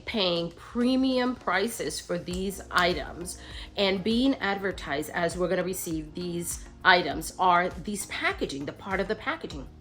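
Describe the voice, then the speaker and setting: A middle-aged woman vlogging in a casual, rambling chat, sounding nasal as she gets over the flu. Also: mid-range voice. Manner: rambling, many ums and restarts.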